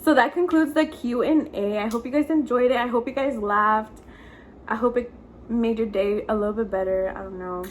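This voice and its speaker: A young woman with a slightly raspy voice, chatting casually as the host of a vlog. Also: fairly high pitch, normal speed, slight background noise. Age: young adult. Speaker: host, vlogger. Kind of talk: casual chat.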